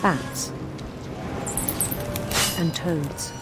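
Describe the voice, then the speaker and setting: A composed female psychiatrist narrates in a game. Her voice is on the low side, formal, grave and deliberate, under loud music. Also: steady tone. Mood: grave, concerned. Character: composed female psychiatrist reporting on a troubled patient. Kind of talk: scripted game narration, letter read as voice-over.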